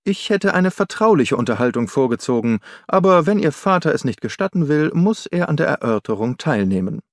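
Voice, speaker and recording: A man's voice: fairly high pitch, big pitch swings, fairly quick, no room sound, studio quality. A man voicing a courteous, analytical detective in an audiobook, polite and self-possessed. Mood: firm, polite. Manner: polite, probing.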